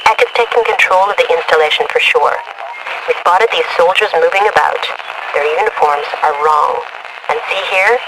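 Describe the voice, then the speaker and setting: An actress voices a composed female mission controller in a military video game. She briefs operatives in a precise, businesslike way, with loud vehicle noise behind her. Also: lowish voice, normal speed, close to an everyday voice.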